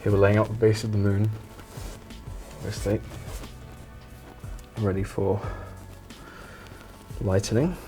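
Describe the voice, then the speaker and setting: A man hosting a painting show in a deadpan, mock documentary style, talking in a soft, relaxing low voice while rambling evasively and defensively. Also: takes it slowly, pauses often, faint music behind.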